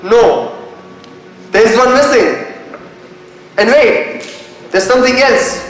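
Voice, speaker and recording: A teenage student acting an earnest gentleman who assists a detective in a stage play. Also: medium pitch, slow, slight background noise, natural voice. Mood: earnest, alarmed. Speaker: student actor in a school play. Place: school stage, indoors. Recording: echoing hall, music behind.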